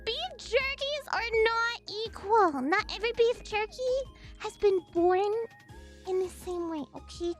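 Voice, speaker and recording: A woman's voice: extremely high pitch, lively intonation, measured pace, music in the background, voice pushed up. A woman streamer putting on a cutesy baby voice while chatting with her live stream audience and reading chat aloud. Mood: chirpy. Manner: chatty, reads chat aloud, playful sing-song noises.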